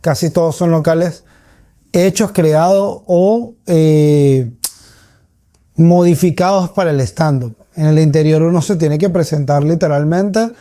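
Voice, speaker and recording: A man's voice: fairly high pitch, a little slow, warm tone, dry recording, clean studio sound. A man with a Caracas Venezuelan accent giving reflective, conversational answers as a comedian being interviewed.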